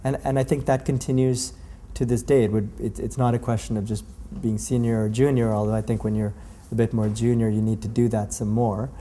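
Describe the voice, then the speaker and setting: Mellow-voiced reflective male lawyer. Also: on the low side, little pitch movement, a little fast, slight background noise. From Canada. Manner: thoughtful, repeats words as he searches for phrasing. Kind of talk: reflective interview answer.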